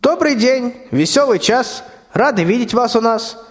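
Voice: mid-range voice, lively intonation, a little slow, over loud music, close to an everyday voice. A performer playing a scheming, bossy old tsar in a rhymed radio play, with declamatory folksy speech. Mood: fawning, eager. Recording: long hall-like reverb.

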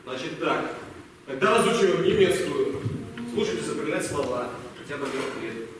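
A man's voice: fairly high pitch, expressive, fairly quick, lightly acted. A man in an amateur audio play acting a brusque, commanding army captain who gives curt orders. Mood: stern, commanding. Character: brusque commanding male army captain drilling young recruits. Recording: echoey hall, distant mic, faint noise.

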